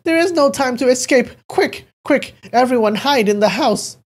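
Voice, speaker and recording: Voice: medium pitch, steady tone, a little fast, darkish tone, close to an everyday voice. A narrator voicing an elderly man who is frightened and urgent, with a trembling voice.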